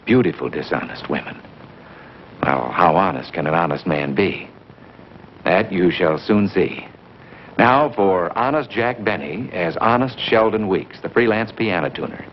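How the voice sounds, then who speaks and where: A man with an American accent hosting with formal, measured, wry scripted narration. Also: sits low, animated. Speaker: announcer, host. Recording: dull vintage 1950s broadcast, faint noise.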